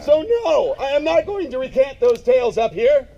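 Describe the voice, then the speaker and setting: A man speaking loudly and emphatically in a casual way, giving a eulogy at a memorial gathering. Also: very high voice, sing-song, moderate pace, creaky. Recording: dull lo-fi sound, faint noise.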